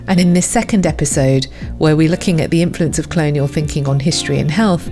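A woman's voice: sits low, quick pace, dark tone, soft background music. A woman hosting a podcast panel, introducing the discussion and inviting guests to speak. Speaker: podcast host, clinical editor.

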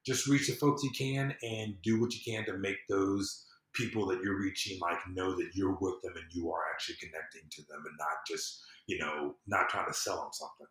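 A man's voice: deep voice, fairly even intonation, moderate pace, few pauses, fairly bright tone. A man chatting as a podcast guest, earnest and emphatic, thinking aloud and repeating phrases as he goes. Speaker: podcast guest, civic empowerment consultant. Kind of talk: casual conversational interview. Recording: echoey room.

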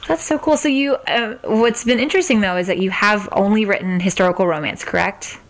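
A woman's voice: medium pitch, fairly quick. An American woman hosting a podcast, interviewing in a casual, agreeable, informal way. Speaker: podcast host interviewing an author.

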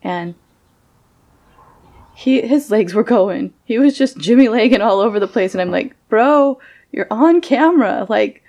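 A woman co-hosting a podcast in casual chat, wry and animated, laughing often as she tells stories, her voice carrying clearly even from back from the microphone. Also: on the high side, unhurried. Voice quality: voice carries clearly from a distance. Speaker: podcast co-host.

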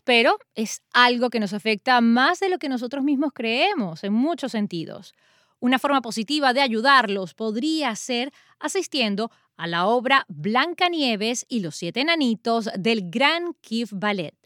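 A woman radio presenter reading a news segment in Spanish with a measured delivery. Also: fairly high pitch, a bit bright, dry recording, clean studio sound.

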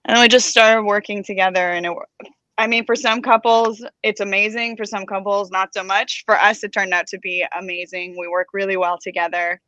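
A woman's voice: medium pitch, flat delivery, fairly quick, bright, forceful tone, no room sound, highs cut off. A woman from Los Angeles, a guest in a casual interview, explaining her show and business earnestly with some restarts. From Los Angeles, United States. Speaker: guest, producer and entrepreneur.

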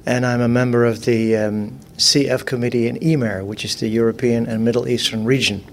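A man in the middle of the usual pitch range introduces himself to a group, plain and matter-of-fact. A faint noise sits behind his voice. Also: fairly even intonation, fairly quick. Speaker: committee member. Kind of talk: brief self-introduction in a group.

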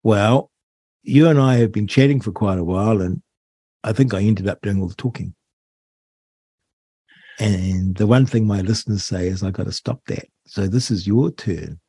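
A man's voice: lowish voice, normal speed, pauses often, dark tone, breathy edge, dry recording. A middle-aged man with a New Zealand accent, hosting a talk radio show, speaking in an earnest and indignant way. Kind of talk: talk radio monologue and interview. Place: radio studio.

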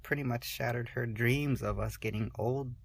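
A woman in her forties speaking candidly in an interview, halting and soft-spoken, often restarting her sentences. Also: extremely low pitch, husky. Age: 40s.

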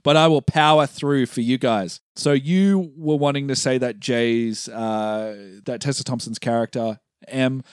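A man co-hosting a casual podcast chat, his voice a little hoarse, laughing often and chiming in with short agreeing replies. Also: medium pitch, a little slow, few pauses, no room sound, clean studio sound. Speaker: podcast co-host.

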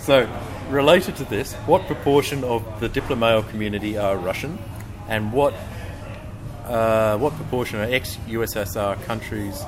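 A man's voice: fairly low pitch, normal speed. Chatty male podcast host over music. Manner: chatty, laughs easily, lots of fillers. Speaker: podcast co-host asking the questions. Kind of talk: casual chat. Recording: music playing underneath.

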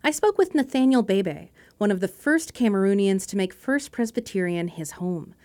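Lady host reading narration, measured and clear. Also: mid-range voice, lively intonation, a little mellow. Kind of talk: scripted narration.

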